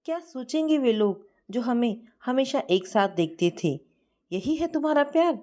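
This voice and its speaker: A woman's voice: on the high side, expressive, moderate pace, warm tone, voice pushed up, lightly acted. A woman voicing an anxious, pleading young woman in love in an audio storybook. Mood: hurt, angry.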